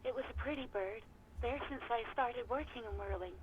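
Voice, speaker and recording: A woman's voice: fairly high pitch, a little fast, highs cut off, noisy background, natural voice. An actress voicing a young woman barkeep in a game, talking on the phone, reproachful and hesitant.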